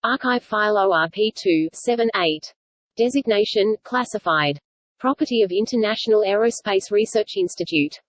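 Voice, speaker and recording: A woman's voice: medium pitch, moderate pace, no echo, natural voice. Actress as impersonal female archive computer for sci-fi drama. Official, detached, mid-range, very dry recording. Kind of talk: scripted sci-fi announcement.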